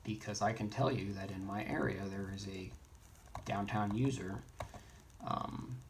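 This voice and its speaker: A man giving an unscripted how-to tutorial in a halting way, thinking aloud as he explains each step. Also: lowish voice, vocal fry. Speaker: instructor in a radio how-to tutorial. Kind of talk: unscripted screen-demo tutorial. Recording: noisy, keyboard and mouse clicks. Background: noise, typing and clicking.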